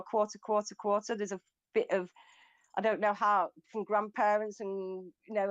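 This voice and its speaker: A woman's voice: medium pitch, no room sound, dull audio. A woman from Greece with a British accent, chatting in an online audio room, often laughing and restarting her sentences. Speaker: guest speaker. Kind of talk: casual online audio chat.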